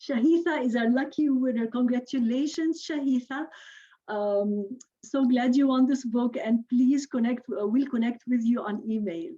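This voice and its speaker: A woman's voice: a little high, moderate pace, dark tone, dull audio. A woman co-hosting an online event, announcing a raffle in a friendly way with a few fillers. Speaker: co-host running a raffle. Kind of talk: event hosting, partly scripted.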